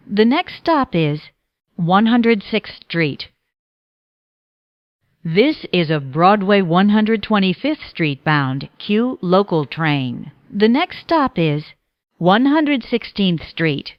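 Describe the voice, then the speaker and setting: A woman reading scripted train announcements in a formal, measured, segmented way as a transit announcer. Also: lowish voice, dry recording, lo-fi sound.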